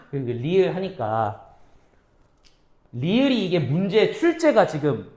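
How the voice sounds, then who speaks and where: Female teacher, lively intonation, rhetorical questions, casual-polite mix, roomy echo. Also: lowish voice. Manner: asks quick questions and answers them herself; mixes casual and polite speech, checks understanding often. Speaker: Korean literature teacher. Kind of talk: exam-prep lecture.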